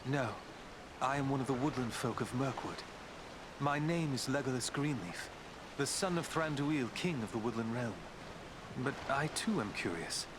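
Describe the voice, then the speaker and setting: A man performs a noble elven prince for a fantasy video game. The voice sits at a medium pitch, composed and courtly, with formal, measured phrasing. There is clear ambient noise behind it. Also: expressive, natural voice. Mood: courteous, curious. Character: male woodland elf prince, noble, composed, solemn.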